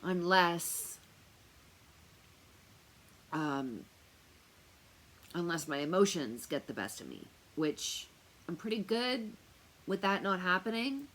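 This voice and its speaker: Low-voiced lady vlogging, loud projecting voice, rambles with lots of ums, slow. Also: big pitch swings. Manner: chatty, rambling, many fillers. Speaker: tarot reader and remote viewer. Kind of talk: casual talk to camera. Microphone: noise-canceling earphones on.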